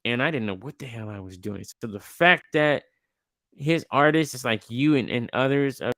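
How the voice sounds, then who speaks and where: A man's voice: mid-range voice, moderate pace, fairly bright tone, no room sound, studio quality. Laid-back young man hosting a podcast. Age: 20s. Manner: laid-back, slangy, rambling with restarts. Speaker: podcast host. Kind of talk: casual interview chat.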